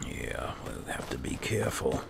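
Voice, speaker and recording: A man with a gravelly voice giving laid-back, casual roleplay commentary as he plays a game, muttering to himself. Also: extremely low pitch, sing-song, moderate pace, in a whisper. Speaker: gaming video host, player. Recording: clear game ambience noise behind. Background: video game ambience.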